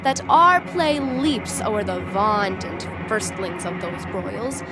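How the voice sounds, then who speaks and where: A woman playing an armed prologue, a wry herald of war narrating Shakespearean verse in an audio drama in a measured, theatrical way. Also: mid-range voice, expressive, over music. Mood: proud, inviting.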